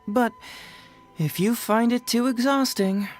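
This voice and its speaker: A man's voice: very high-pitched, slow pace, soft background music. An actor playing a shrewd young male detective in a video game, breezy, polite and playfully needling. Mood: teasing.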